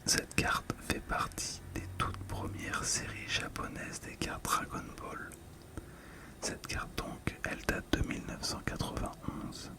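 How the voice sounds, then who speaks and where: A middle-aged ASMR host talking through a collectible in a calm, gentle, hesitant way, close to the microphone. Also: slow pace. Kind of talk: whispered ASMR commentary.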